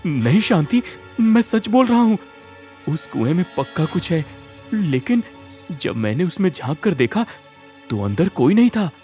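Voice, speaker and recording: A nervous village husband from a cartoon folk tale acted by a man. He stammers and hesitates dramatically, taking his time over phrases. The voice is high and very animated, with music behind it. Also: unhurried. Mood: shaken, earnest. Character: timid, easily frightened village husband. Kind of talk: animated Hindi story dialogue.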